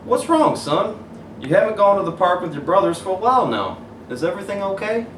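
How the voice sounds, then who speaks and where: A man voicing a caring, encouraging father in a children's storybook, gently questioning and reassuring. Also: high voice, very animated, a little fast, voice pushed up. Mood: concerned. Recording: roomy, distant mic, faint hiss.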